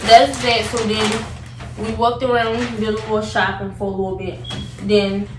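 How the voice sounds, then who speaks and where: A young woman chatting casually as she tells a story, animated, slangy and repetitive in her retelling. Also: medium pitch. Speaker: vlogger telling a story. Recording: roomy, distant mic, noticeable background noise.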